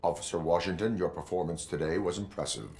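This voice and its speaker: An actor playing a stoic middle-aged cyborg police officer in a video game, speaking in stiff, formal, terse lines with a deadpan delivery. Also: male voice, low voice, quick pace, a little hiss or hum. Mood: stoic, stern. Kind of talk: video game cutscene acting.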